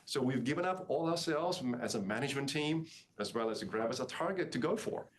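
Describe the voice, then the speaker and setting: A man's voice: medium pitch, lively intonation, fairly quick, slight background noise. A man speaking as a company executive, answering on an earnings call with emphatic, repeated and restarted phrases.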